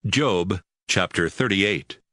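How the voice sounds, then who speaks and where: A man's voice: deep voice, slow, sharp tone, no room sound, studio quality, exaggerated voice. A man narrating an audio Bible in a formal scripted style, as an announcer of chapters and scenes.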